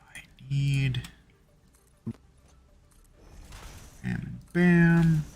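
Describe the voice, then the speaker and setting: A man's voice: fairly high pitch, very slow, a little mellow. A man streaming a building game, chatting casually and cracking crude jokes as he builds. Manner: crude joking banter while building. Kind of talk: casual gaming stream chat.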